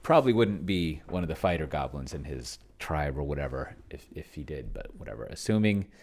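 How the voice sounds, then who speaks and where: A man's voice: very deep voice, expressive, brisk, darkish tone. A man thinking aloud in casual commentary as he plays a roleplaying game, often muttering, trailing off and drawing words out while typing. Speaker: solo tabletop roleplayer and video host. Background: computer keyboard typing.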